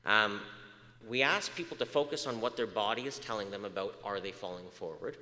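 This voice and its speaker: Man lecturing in an echoey hall. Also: on the low side. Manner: lively, asks audience questions, many uh fillers. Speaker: research speaker. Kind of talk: public lecture to an audience. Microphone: moves off mic at times.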